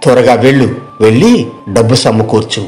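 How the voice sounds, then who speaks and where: A man's voice: mid-range voice, moderate pace, darkish tone, close to an everyday voice. Guy doing a serious village doctor in a cartoon story, urgent, telling people what to do. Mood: grave, urgent. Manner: serious, instructing. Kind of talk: acted dialogue in a moral story cartoon.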